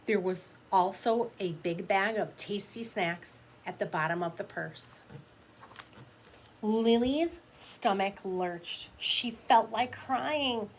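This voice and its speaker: A woman's voice: medium pitch, slow pace. A woman teacher reading a picture book aloud to children, expressively, with explanatory asides to her young listeners.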